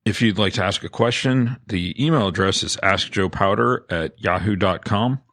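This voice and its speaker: A man giving casual, slightly halting podcast outro announcements as a co-host. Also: on the low side, fairly bright tone. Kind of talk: podcast outro announcements, then mock-dramatic recitation.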